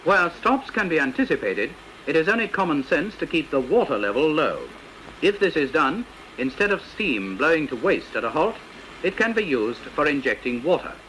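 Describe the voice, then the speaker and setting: Bright-voiced British man narrating training film. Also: on the high side, big pitch swings, slight background noise, low-quality recording. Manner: didactic, precise, measured explaining. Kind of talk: scripted instructional narration, vintage training film.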